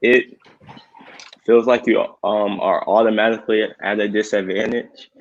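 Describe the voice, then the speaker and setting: Flat-voiced young man, lo-fi sound. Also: fairly low pitch. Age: young adult. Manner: halting, many fillers. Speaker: panel guest. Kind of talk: casual discussion.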